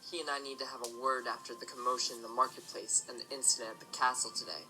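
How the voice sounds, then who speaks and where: A man playing a protective, principled stallion father in an audio drama, speaking in a measured, firm way. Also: mid-range voice, flat delivery, sharp tone, soft background music, rough recording. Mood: calm, resolute.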